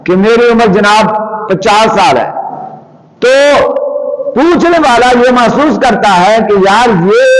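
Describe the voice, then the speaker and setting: Male health-channel host, high voice, very slow delivery, deliberate and repetitive explainer. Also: rough recording. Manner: deliberate, repetitive, phrase by phrase with rhetorical questions. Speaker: herbal healer hosting a health channel. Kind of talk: explanatory health talk to viewers.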